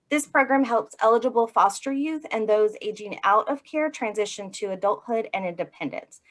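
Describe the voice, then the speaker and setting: A woman speaking as a program director, giving a prepared informational presentation over a video call. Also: mid-range voice.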